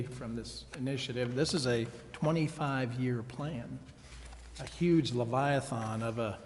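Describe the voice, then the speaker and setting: American man, mid-pitched, insistent halting delivery, public meeting debate, long room reverb. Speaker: council meeting participant raising concerns. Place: council chambers. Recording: reverberant council chamber, faint noise.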